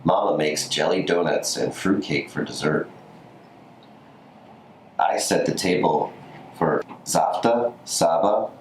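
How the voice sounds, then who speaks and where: A man with a notably deep voice reads a storybook aloud. The recording is roomy and echoey, with faint noise behind him. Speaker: community story reader. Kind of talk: scripted storybook reading.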